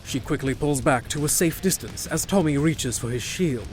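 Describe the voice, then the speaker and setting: A man's voice: a little high, moderate pace, darkish tone. A man narrating a scripted fantasy audio drama as a storyteller. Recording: music behind.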